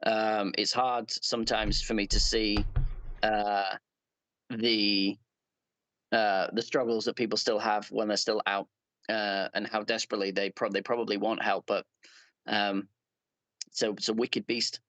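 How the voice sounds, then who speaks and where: An English man from Leeds chatting as a guest, earnest and halting with many fillers and restarts. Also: on the low side, level tone, fairly bright tone, no echo. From Leeds, England. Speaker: guest player. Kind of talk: casual chat.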